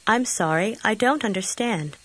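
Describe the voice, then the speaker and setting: A woman models short phrases for a language course, spacing each word carefully. Her voice is mid-pitched and a little husky. The sound is dry and close. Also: expressive, measured pace, fairly bright tone, no echo. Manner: careful, clearly spaced delivery. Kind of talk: scripted phrase repetition.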